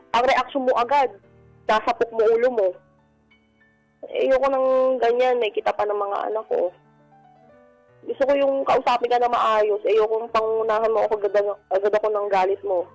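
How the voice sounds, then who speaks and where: A woman's voice: fairly high pitch, low-quality recording. A woman calling a radio advice show, speaking in a plain, exasperated, everyday way about personal matters. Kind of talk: personal appeal in everyday speech. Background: music.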